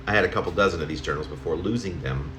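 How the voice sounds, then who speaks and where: A middle-aged or older man reading his own letter-like poem aloud in a halting, conversational way, correcting himself as he goes. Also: sits low, fairly bright tone. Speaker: poet reading own work. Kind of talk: spoken poem reading in the form of a personal letter. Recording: roomy home recording, faint noise.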